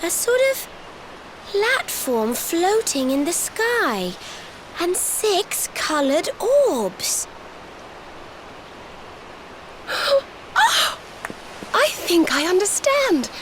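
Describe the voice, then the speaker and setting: An actress voicing a gentle young female healer in a video game, speaking softly and earnestly. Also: extremely high pitch, very animated, drawn-out words, slight background noise, heavily acted, rough recording. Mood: wondering.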